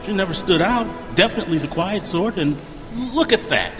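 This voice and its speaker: A man's voice: sits high, animated, brisk. A man playing an anxious, self-doubting former mayor awaiting judgment in an audio drama, earnest and often stammering. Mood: puzzled wonder. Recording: long hall reverb with clear music behind.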